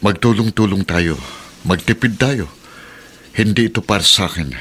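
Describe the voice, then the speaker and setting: A man's voice: lowish voice, moderate pace, a bit bright, slight background noise, close to an everyday voice. An actor playing a resolute, middle-aged father in a radio drama, speaking in an earnest, reassuring way. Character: resolute one-legged father driving a pedicab.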